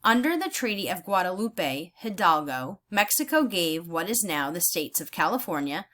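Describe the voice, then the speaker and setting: Female teacher for a read-aloud lesson: bright, forward tone, animated pitch movement, deliberate instructional phrasing.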